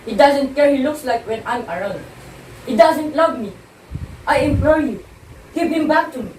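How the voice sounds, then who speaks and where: A woman's voice: sits high, expressive, normal speed, a little mellow. A woman playing a distraught, jealous young wife in an amateur stage play, pleading and accusing with emphatic delivery. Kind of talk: amateur one-act stage play dialogue. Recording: roomy echo, distant mic, faint noise.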